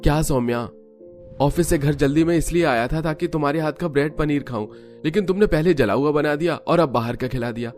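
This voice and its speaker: An expressive young husband, put on by a male narrator in a cartoon story. His voice is pitched well up and complaining, over faint music. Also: a little fast, lightly acted. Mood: disappointed. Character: young husband, complaining and eager for good food. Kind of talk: Hindi cartoon story dubbing.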